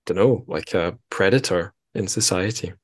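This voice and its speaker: A man hosting a casual interview show, thinking aloud in a halting way with wry asides. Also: deep voice. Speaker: podcast host, interviewer.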